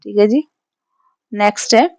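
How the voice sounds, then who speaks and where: A woman's voice: mid-range voice, measured pace, a little mellow, dry recording, clean studio sound. Hindi-accented woman teacher explaining a poem. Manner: explanatory, frequent "theek hai" check-ins.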